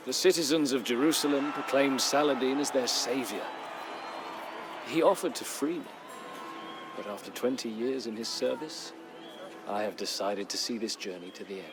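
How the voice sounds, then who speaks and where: An actor playing a weary, middle-aged former crusader knight who narrates a game's war chronicle in a solemn, measured, dramatic storytelling manner. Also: male voice, medium pitch, background noise, lightly acted. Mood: grave, reflective. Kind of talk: dramatic scripted first-person narration. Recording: music under the voice. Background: music.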